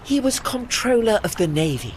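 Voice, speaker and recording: An actor voicing a proud, ageing warship in an audio play, with formal, dry, witty and faintly pompous diction. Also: male voice, high voice, big pitch swings, moderate pace, a little hiss or hum. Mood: proud, instructive.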